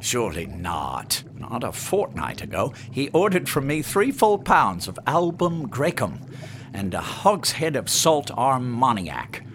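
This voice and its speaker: Animated colonial apothecary man. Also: mid-range voice, a little slow, background noise. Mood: skeptical, reasoning. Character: skeptical colonial apothecary, reasonable middle-aged tradesman. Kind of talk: radio drama acting in period speech.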